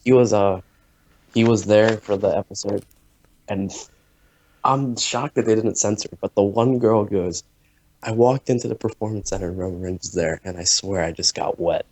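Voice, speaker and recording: A man's voice: lowish voice, normal speed, darkish tone, rough recording. A man calling in to a talk show, chatting casually and hesitating with many uhs. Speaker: caller. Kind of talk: casual chat on a call-in. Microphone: call over the internet.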